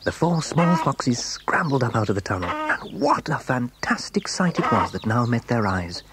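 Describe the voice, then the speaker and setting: A man narrating a children's story in a dramatic, expressive, suspenseful way, with a husky, breathy voice. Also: mid-range voice, moderate pace, very noisy. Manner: expressive, suspenseful, building excitement.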